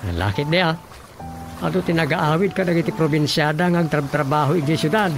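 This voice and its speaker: A man's voice: fairly high pitch, a little fast, music in the background, close to an everyday voice. An actor with an Ilocano accent playing a chatty, curious townsman in a radio drama. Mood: friendly.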